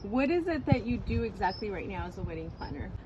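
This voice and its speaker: A woman's voice: mid-range voice, sing-song, quick pace, lo-fi sound, background noise. A woman interviewer who asks short, direct questions and answers with brief affirmations.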